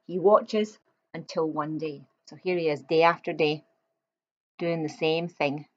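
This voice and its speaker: A woman's voice: low voice, steady tone, unhurried, muffled recording. A woman reading a children's picture book aloud, expressively, with chatty explanatory asides to young listeners.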